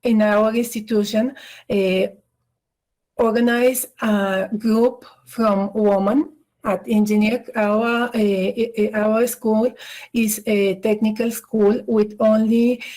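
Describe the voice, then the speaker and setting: Halting Bulgarian-accented woman, slow and mellow. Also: medium pitch, little pitch movement, poor audio. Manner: hesitant, many fillers and restarts. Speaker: invited speaker. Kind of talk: halting conference presentation.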